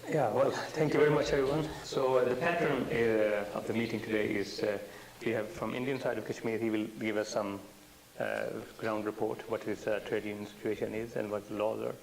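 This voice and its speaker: A man chairing a meeting, speaking informally in halting phrases full of fillers. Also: fairly low pitch, steady tone, vocal fry. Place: indoor meeting. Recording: hissy, slightly noisy meeting recording.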